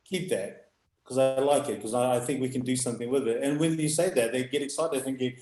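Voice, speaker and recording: Man, loud foghorn voice, halting and laughing, youth rugby coach, echoey room. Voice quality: loud booming voice. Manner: halting, restarts, laughs often. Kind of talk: live video call chat.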